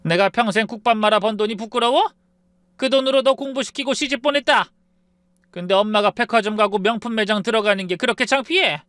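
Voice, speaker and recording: A fiery older mother tearing into her grown daughter with harsh, loud scolding, as a man puts her on. He pushes his voice high and hard above his normal pitch. The sound is dry and close. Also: brisk, dry recording. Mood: furious.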